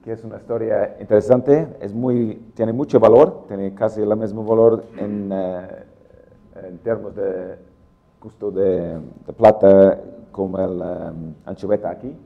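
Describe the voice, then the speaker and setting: A middle-aged man with an English accent giving a technical lecture in halting Spanish, full of "em" fillers. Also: lowish voice, fairly even intonation, gravelly, phone-quality sound. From England. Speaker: guest speaker.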